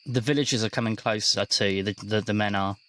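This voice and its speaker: A man's voice: lowish voice, steady tone, fast, fairly bright tone. A man working as game master, narrating a tabletop roleplaying game in a conversational way.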